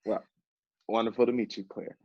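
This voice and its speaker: A man's voice: on the low side, little pitch movement, fairly quick, no echo, natural voice. An actor playing a polite, slightly catty male office assistant in a naturalistic stage play. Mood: polite, wry. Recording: dull, muffled dry sound.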